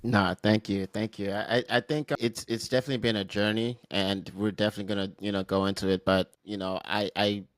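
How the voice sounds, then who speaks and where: A man from Nigeria in his thirties, a firm founder talking casually as a podcast guest, relaxed and full of "you know" and "like.". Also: lowish voice, brisk, hardly any pauses, dry recording. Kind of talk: casual interview talk.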